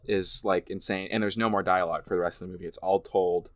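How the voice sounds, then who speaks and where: A man with a fairly low voice talks through film details on a casual podcast. He explains thoughtfully, pausing and restarting as he searches for words. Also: fairly even intonation. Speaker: podcast co-host.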